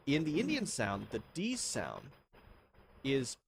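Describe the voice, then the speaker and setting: A man from the United States giving a pronunciation lesson, demonstrating the sounds out loud. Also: medium pitch, takes it slowly, creaky, a little hiss or hum. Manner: demonstrates sounds, lively delivery. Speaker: accent coach.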